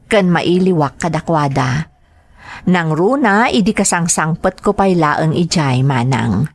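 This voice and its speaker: Devoted Filipina mother and nanny, radio drama, medium pitch, Filipino accent, earnest, polite, homesick. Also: dry recording. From Philippines. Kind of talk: radio drama acting and narration.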